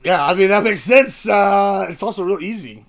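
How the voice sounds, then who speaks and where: A young woman hosting a casual podcast chat, loud and profane, calling people "bro". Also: mid-range voice, expressive, normal speed. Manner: loud, swearing, says "bro" a lot. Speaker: podcast host.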